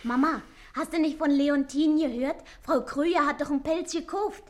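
An actress playing a pert, eager young daughter in a German radio play, with a childlike manner. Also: female voice, high voice, moderate pace, close to an everyday voice. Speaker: actor.

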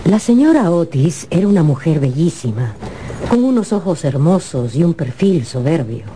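A woman narrating a scripted radio drama as an expressive, theatrical storyteller. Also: low-pitched, moderate pace, background noise.

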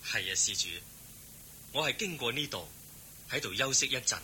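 An actor playing a serene, kindly holy teacher in a Hong Kong Cantonese radio drama, speaking in a composed, gently instructive way. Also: male voice, mid-range voice, animated, normal speed, a little hiss or hum. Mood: calm.

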